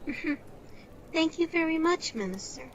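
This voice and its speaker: Woman playing a high-voiced, chatty pub landlady. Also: animated, unhurried. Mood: welcoming. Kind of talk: amateur audio drama acting. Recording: dull lo-fi sound, faint noise.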